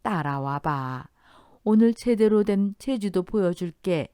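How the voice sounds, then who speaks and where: A woman's voice: mid-range voice, animated, slow pace, dark tone, smooth, no echo, clean studio sound, voice pushed up. A woman voicing a kindly, spirited elderly mother-in-law with an affectionate, plain-spoken manner in a radio story. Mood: playful. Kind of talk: Korean radio story dialogue.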